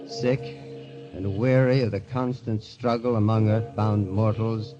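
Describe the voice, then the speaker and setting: A man's voice: medium pitch, darkish tone, lo-fi sound, lightly acted. An actor as a brooding, despairing man, old radio drama. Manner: melodramatic, brooding first-person narration. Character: despairing, broke man narrating his own suicide attempt. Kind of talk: 1940s radio drama acting. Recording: vintage 1940s radio recording.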